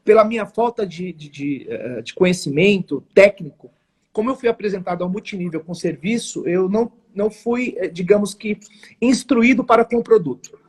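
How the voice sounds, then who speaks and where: A man in his forties with a São Paulo accent, speaking as a guest on a live interview. His voice sits high for a man and has a husky edge. He tells his story earnestly and emphatically, in formal phrasing with many restarts. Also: moderate pace. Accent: São Paulo Portuguese. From São Paulo, Brazil. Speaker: guest, network marketing entrepreneur.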